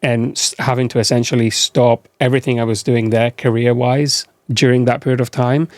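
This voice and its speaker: A man's voice: medium pitch, level tone, a little fast, dry recording, studio quality. A man from Greece hosting a podcast, asking questions in a conversational, encouraging way. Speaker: podcast host. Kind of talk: casual interview chat.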